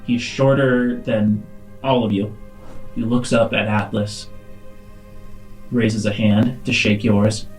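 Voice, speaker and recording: A man's voice: on the low side, flat delivery, slow pace, long pauses, faint music behind, low-quality recording. A man narrating an improvised tabletop game as game master.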